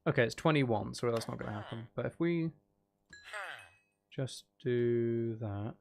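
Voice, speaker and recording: A man's voice: medium pitch, measured pace, vocal fry, a little mellow, slight background noise. A man doing casual live gameplay commentary, rambling and thinking aloud as he chats with viewers. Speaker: video game livestreamer.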